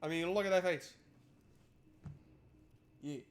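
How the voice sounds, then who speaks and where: A man's voice: high-pitched, slow. A man streaming a game, switching between reading narration aloud and casual, irreverent, sweary joking commentary.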